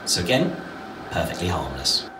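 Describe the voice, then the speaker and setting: Male zookeeper, forties, lowish voice with a very lively, emphatic delivery, close mic in an indoor reptile house. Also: moderate pace, background noise. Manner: lively, emphatic explainer with sudden pitch rises. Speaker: zookeeper giving a tour. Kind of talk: educational talk to camera.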